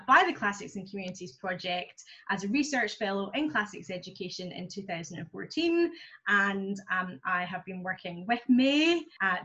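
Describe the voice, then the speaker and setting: A woman research fellow explaining her research in a measured, explanatory way. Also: medium pitch, pushed and bright. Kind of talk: explanatory podcast talk. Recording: dull lo-fi sound.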